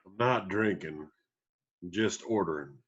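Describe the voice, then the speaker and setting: A man reading a gruff, stubborn, hard-drinking country bandleader with folksy Southern phrasing in a screenplay table read. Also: sits low, measured pace. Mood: wry, regretful. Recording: slightly dull, lo-fi sound.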